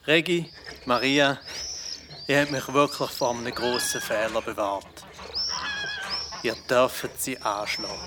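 Actor as solemn grateful medieval bell founder. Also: male voice, mid-range voice, fairly even intonation, a little slow, long pauses, noisy background, lightly acted. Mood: grateful, relieved. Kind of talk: children's radio play acting.

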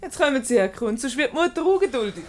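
A woman's voice: high-pitched, big pitch swings, fast. A woman with a Swiss German accent playing a clever, teasing, unbothered wife in a radio comedy, coolly mocking. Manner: mocking, coolly self-assured.

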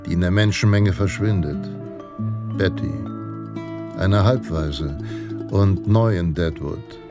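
An actor narrating a Western hero fantasy in a radio play, in a grave, heroic, deliberate manner. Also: male voice, low-pitched. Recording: loud guitar music underneath. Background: Western guitar music.

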